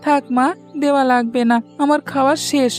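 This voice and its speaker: Woman voicing a high-pitched, kind-hearted boy. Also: steady tone, brisk, soft background music, lightly acted. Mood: earnest, pleading. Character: kind-hearted, pleading young village boy. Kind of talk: Bengali cartoon voice acting.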